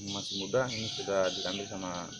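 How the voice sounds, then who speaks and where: A man presenting an informal demonstration vlog, explaining in a halting way. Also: low-pitched, little pitch movement. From North Buton, Southeast Sulawesi, Indonesia. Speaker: herbal remedy video presenter. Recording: noisy outdoor audio with insects and birds.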